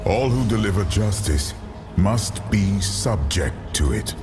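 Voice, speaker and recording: An actor playing a grave male archangel of justice in a fantasy game, giving measured, solemn counsel. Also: low-pitched, expressive. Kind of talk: dramatic fantasy game acting. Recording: loud music behind the voice.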